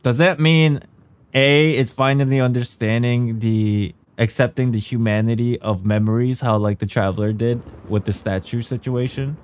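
A man's voice: medium pitch, steady tone, moderate pace. A young man giving casual live stream commentary and speculation as a game streamer, recorded on a studio mic in a room at home. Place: small furnished room at home. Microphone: large studio mic close by. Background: faint game music.

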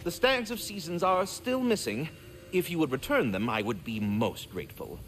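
A man's voice: on the high side, very animated, normal speed, fairly bright tone, slight background noise. A man voicing a courteous, fretful, erudite magical squirrel in a video game, speaking in formal, wordy, theatrical phrases. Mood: gloomy, self-doubting. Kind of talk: video game character acting.

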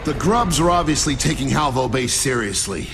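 An actor playing a dry, sardonic army lieutenant recounting battles in a video game. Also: male voice, mid-range voice, lively intonation, normal speed, vocal fry. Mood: wry narration. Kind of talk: video game acting and narration. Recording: game music behind.

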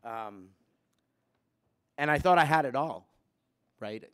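A man's voice: medium pitch, expressive, takes it slowly, fairly bright tone, smooth. A man in his fifties from Puerto Rico gives a personal talk to students at a chapel lectern, conversational with many fillers and growing emphatic. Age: 50s. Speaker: chapel speaker. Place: wood-panelled school chapel, students seated behind. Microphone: gooseneck lectern mic.

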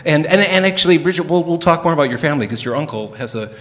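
A man's voice: a little high, expressive, very fast, fairly bright tone. A middle-aged man moderating a panel on a theater stage, talking into a handheld mic with plenty of fillers and restarts. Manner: chatty, many fillers and restarts. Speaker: moderator, opera dramaturg. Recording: long reverb from the hall.

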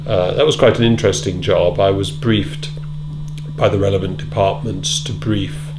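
A middle-aged man being interviewed in a wood-panelled room, answering in a measured, thoughtful way with many ums and repeated words. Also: low voice, steady tone. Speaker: interviewee, former British Council officer. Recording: loud hum behind.

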